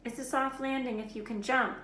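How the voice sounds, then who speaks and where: A woman's voice: high-pitched, fairly even intonation, fairly quick, bright, forceful tone, pitched above the performer's own voice. Brave schoolboy, read by a woman, earnest, direct, storybook hero, echoey room, faint noise. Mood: calling out. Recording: roomy echo, background noise.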